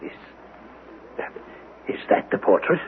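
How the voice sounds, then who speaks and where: An actor playing a grave, pious reverend in a radio mystery drama, solemn and deliberate. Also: male voice, close to an everyday voice. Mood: troubled. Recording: muffled, noisy old radio broadcast.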